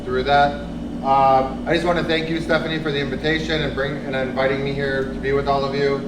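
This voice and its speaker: A man's voice: medium pitch, flat delivery, fairly quick. Man talking to a room without a mic. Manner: conversational, many fillers, asks the room questions. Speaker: speaker on homelessness. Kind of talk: interactive talk to an audience. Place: large echoey room, audience present. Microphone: no microphone, room recording from a distance. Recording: echoey room from far off with loud noise.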